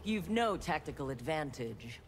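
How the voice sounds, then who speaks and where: An actor playing a cold, dismissive armored fighter in a video game, arrogant and formal in phrasing. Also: male voice, fairly high pitch, very animated, unhurried, slight background noise. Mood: scornful.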